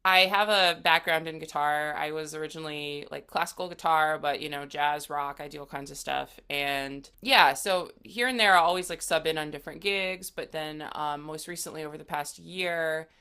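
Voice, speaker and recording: A woman's voice: low voice, moderate pace, hardly any pauses, sharp tone, smooth. A young adult woman, a musician being interviewed, speaking casually in a laid-back conversational way. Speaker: musician guest, singer and guitarist.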